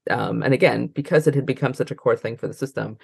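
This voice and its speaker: A woman's voice: extremely low pitch, fast, vocal fry, a little mellow, breathy edge, dry recording, clean studio sound. An American woman talking casually as an interview guest, rambling and often trailing off mid-thought. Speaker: interview guest, librarian and trainer.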